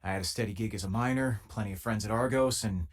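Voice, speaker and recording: A man playing a loyal, easygoing former miner and spaceship crewmate in a video game, with a husky, casual, wry delivery. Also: on the low side, fast talker, close to an everyday voice. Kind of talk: video game companion dialogue.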